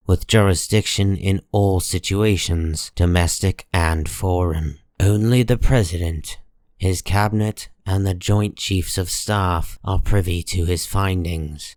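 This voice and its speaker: A man reading dramatic comic-book narration in a grave, measured and deliberate manner. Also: deep voice, darkish tone, no room sound, clean studio sound.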